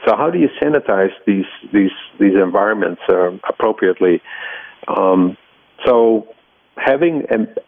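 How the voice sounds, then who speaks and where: Middle-aged male scientist, Dutch accent, gravelly low voice, halting explanatory interview delivery. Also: animated, dry recording, narrow, tinny audio. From Netherlands. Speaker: research scientist and laboratory head, interviewee.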